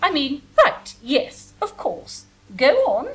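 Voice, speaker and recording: High-voiced wicked queen, imperious, arch, slow, hugely swooping, vain, comedy audio drama. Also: big pitch swings, fairly bright tone, heavily acted. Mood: arch, wounded vanity. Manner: grand, arch, theatrical. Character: haughty scheming murderous Queen, vain and imperious. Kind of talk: comic radio-play villain acting.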